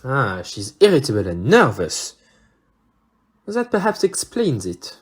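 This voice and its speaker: Courteous Belgian male sleuth, French accent, husky timbre, hugely animated melody, formal audio-play delivery. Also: mid-range voice, a little slow, exaggerated voice. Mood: pensive, shrewd. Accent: French-speaking Belgian. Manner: formal, theatrical, French interjections. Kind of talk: amateur audio play acting.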